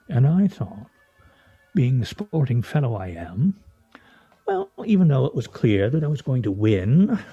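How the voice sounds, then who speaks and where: A theatrical, needling husband in a radio play. His voice is very dark and husky, and he talks in florid mock-formal phrases with swooping pitch. The recording is slightly dull, with music behind. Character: pompous, needling, theatrical husband and scrabble player.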